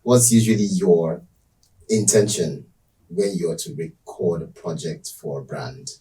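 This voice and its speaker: A man's voice: low voice, expressive, measured pace, dark tone, distant mic, poor audio. A man interviewing a guest, asking questions in a playful, teasing way.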